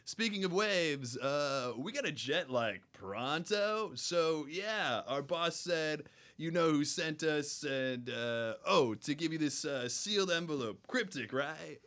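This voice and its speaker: A man's voice: a little high, expressive, slow, fairly bright tone, exaggerated voice. A man playing a laid-back, snooty West Coast dude henchman in a comedy radio serial, drawling and saying "like" constantly. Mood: casual, snide.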